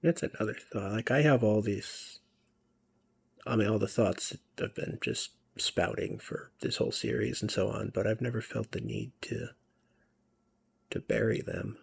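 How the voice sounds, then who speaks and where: A man giving casual, rambling commentary over a video game, musing about himself and often dropping to a soft near-whisper. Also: lowish voice, moderate pace, creaky, husky. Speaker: let's play commentator.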